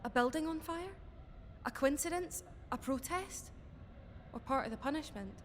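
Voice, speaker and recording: A high-pitched young woman rebel speaks in short, urgent, questioning phrases. It is dystopian audio drama, with long reverb and a slight noise bed. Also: expressive, moderate pace. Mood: alarmed wonder.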